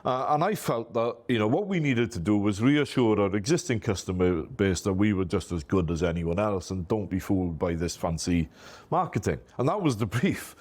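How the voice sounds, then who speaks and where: A middle-aged Welshman with a strong Welsh accent talks in a low voice about his own business. He is chatty and self-deprecating, loosely built sentences full of "you know", Welsh being his first language. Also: animated, normal speed, a little mellow. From Wales. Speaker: MSP business owner, guest. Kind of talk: casual interview talk.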